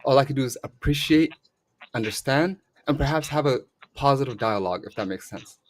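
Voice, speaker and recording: A man's voice: medium pitch, lively intonation, brisk. A man from Somalia, grown up in Canada, calling in to a podcast and speaking earnestly about his views. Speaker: caller. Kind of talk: casual call-in chat.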